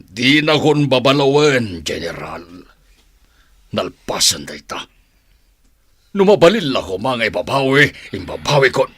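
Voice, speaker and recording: A man's voice: medium pitch, sing-song, a little slow, long pauses, a bit bright, husky, dry recording, heavily acted. An actor with a Filipino accent playing an anxious, scheming wealthy man in a radio drama. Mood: earnest.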